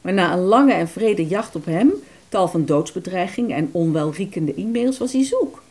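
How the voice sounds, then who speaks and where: A woman's voice: fairly low pitch, lively intonation, a little mellow, breathy edge, no echo. Woman voicing a theatrical, wounded abandoned idea. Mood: rueful. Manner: theatrical, dramatic storytelling with slow emphases. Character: bitter aborted idea, a vanished thought under a sheet. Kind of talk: Dutch radio play monologue.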